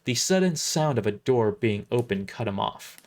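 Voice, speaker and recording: Male livestream storyteller, mid-pitched, highly animated read of scripted narration. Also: normal speed.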